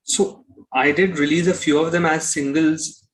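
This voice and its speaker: A man's voice: on the high side, steady tone, moderate pace, poor audio. A man giving conversational interview answers as a musician, explaining and rephrasing as he goes.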